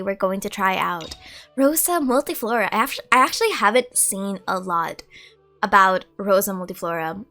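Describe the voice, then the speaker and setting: A woman's voice: mid-range voice, sharp tone. A woman doing casual let's play commentary, chatty and rambling, often correcting herself. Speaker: gaming streamer. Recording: music playing behind.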